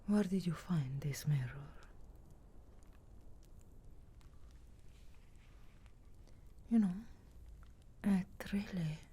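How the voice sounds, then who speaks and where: A woman voicing a sarcastic, guardedly caring witch of the wilds in a slow, breathy whisper for an ASMR fantasy roleplay. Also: on the low side, mellow, a little hiss or hum, lightly acted. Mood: teasing, reluctant care. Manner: slow, measured, archly formal phrasing.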